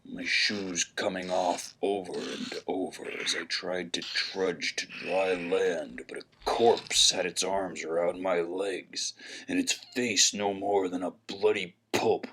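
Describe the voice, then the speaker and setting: A low, brooding gumshoe, acted by a man in a noir audio drama, with clear atmospheric noise behind him. He is world-weary and sardonic, with florid, deliberate hardboiled delivery. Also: little pitch movement, bright, forceful tone, exaggerated voice, poor audio. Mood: brooding, tormented. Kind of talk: scripted hardboiled noir narration.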